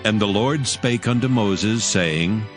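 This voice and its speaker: A man narrating the chapter introductions in a slow, deliberate way. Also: lowish voice, over music. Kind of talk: scripted narration.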